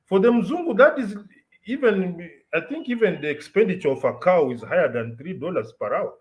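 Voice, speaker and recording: A man's voice: high voice, expressive, brisk. A Kenyan man in his thirties chatting casually on a podcast, hesitating with fillers and slipping into Swahili before making a firm point. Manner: code-switches into Swahili, hesitant with fillers then emphatic. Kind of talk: casual chat.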